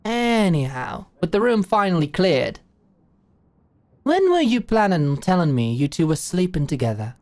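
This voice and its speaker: A woman's voice: on the low side, sing-song, normal speed, a little mellow, no echo. A woman playing a blunt, shrewd older British executive in an audio roleplay, talking in a brash, slangy, commanding way. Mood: amused, blunt. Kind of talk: scripted audio roleplay monologue.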